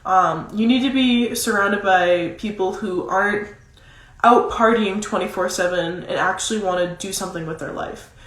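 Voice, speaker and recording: A woman's voice: medium pitch, normal speed, a bit bright. Congested, nasal woman in an echoey room. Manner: emphatic, repeats key phrases for stress. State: congested with a cold. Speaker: motivational coach. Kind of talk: motivational advice talk, casual. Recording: roomy, echoing sound.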